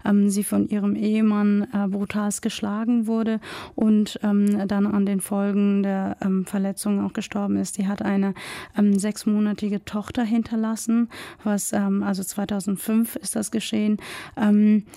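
A woman's voice: mid-range voice, completely flat delivery, moderate pace, creaky, dark tone, dry recording, studio quality. A woman from Afghanistan speaking earnestly and with feeling as a guest in a personal interview. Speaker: interview guest, women's aid activist.

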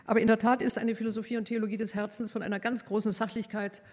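A woman giving a measured academic lecture, pausing often with hesitations as she speaks. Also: fairly high pitch, flat delivery, darkish tone, long reverb tail. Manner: measured, thoughtful, with frequent hesitations.

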